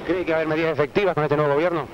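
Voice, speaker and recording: A male Argentine TV reporter works a city street with a handheld microphone. He fires formal questions quickly in a fairly high voice, on dull, noisy vintage newsreel audio. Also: fairly even intonation. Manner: formal, insistent. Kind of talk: vox pop news interviewing. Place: outdoors on a busy city street.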